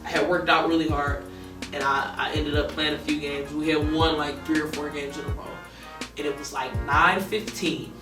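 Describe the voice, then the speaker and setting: A young woman from with a deep, monotone voice tells a personal story in a relaxed, rambling documentary interview. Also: moderate pace. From Detroit. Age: young adult. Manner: laid-back, rambling, heavy with fillers. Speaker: college basketball player. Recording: roomy echo, distant mic, music behind.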